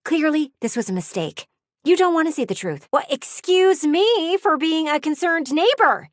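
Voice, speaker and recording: A woman's voice: high voice, sing-song, normal speed, no room sound, studio quality. A woman voicing a nosy, prim, easily offended lady window in a video game, with a theatrical, gushing and condescending manner. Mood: offended, indignant. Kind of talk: animated video game character acting.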